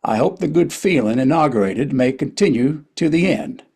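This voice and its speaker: A man's voice: on the high side, expressive, moderate pace, gravelly, darkish tone, husky, full character voice. A man playing a wry, reflective older male writer and narrator in a table reading of a historical play, with a hesitant storytelling manner. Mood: solemn reading.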